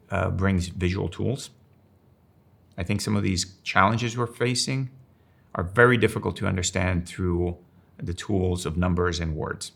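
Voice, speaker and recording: Low gravelly older man, measured. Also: fairly bright tone. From Finland, grew up in Rome. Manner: thoughtful, measured, slows down to stress key words. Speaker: strategic designer and professor. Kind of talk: reflective interview monologue.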